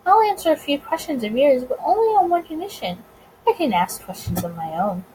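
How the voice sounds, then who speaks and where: A woman's voice: high voice, big pitch swings, fairly quick, dark tone, slight background noise, voice pushed up, poor audio. A woman voicing a suave, smug male bootlegger in a visual novel, courteous, wordy and self-assured. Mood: teasing. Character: suave, smug, teasing male gangster bootlegger of 1920s America.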